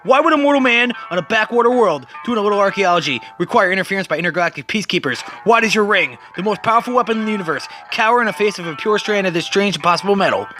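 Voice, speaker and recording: A man's voice: high-pitched, animated, very fast, few pauses, sharp tone, faint music behind. A man voicing a brooding, grave masked vigilante from a comic book in a solemn dramatic reading. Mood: grim. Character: brooding, grave male masked vigilante hero, obsessive and secretive.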